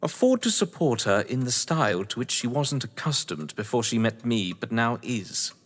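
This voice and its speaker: An actor playing a suave, sardonic upper-class English novelist, wordy and condescending, in a theatrical audio drama. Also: male voice, mid-range voice, very animated, moderate pace, lightly acted. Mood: cutting, sardonic. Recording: echoey hall-like reverb.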